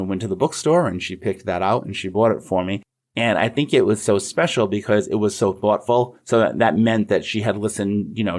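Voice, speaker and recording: A middle-aged man with a fairly low voice. He speaks as a co-host on a podcast, moving between conversation and reading aloud. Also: darkish tone. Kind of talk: friendly podcast chat and poem reading.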